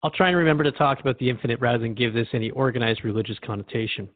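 A man's voice: medium pitch, rapid-fire, no echo, phone-quality sound, lightly acted. A man playing a thoughtful, inquiring radio host, conversational and reflective as he interviews in a radio drama. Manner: reflective, questioning.

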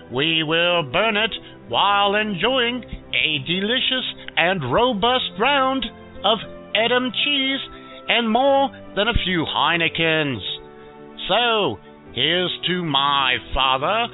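A man playing a crude, irreverent Dutchman delivering a mock-formal comic message with theatrical relish. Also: sits high. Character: irreverent Dutch man Guy, cheerfully crude and bitter about his father. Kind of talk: comic monologue as a message to radio hosts. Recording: phone-like narrow band, music behind.

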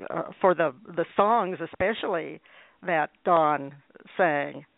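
A man hosting a radio interview show, enthusiastic and warm-hearted in his praise, often stumbling and restarting his questions. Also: medium pitch, slow pace, gravelly, no room sound. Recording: tinny lo-fi line.

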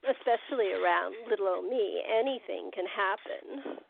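An actress playing a flirtatious, wicked wealthy hostess who was once a silent film star, talking in a languid, teasing drawl. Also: female voice, medium pitch, normal speed, creaky, dry recording. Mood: teasing, seductive. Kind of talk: amateur radio play acting. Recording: phone-like narrow sound, dry.

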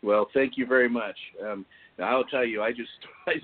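A man hosting a podcast discussion, speaking haltingly and often repeating his words. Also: medium pitch, steady tone. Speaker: podcast host. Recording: tinny phone-like call audio.

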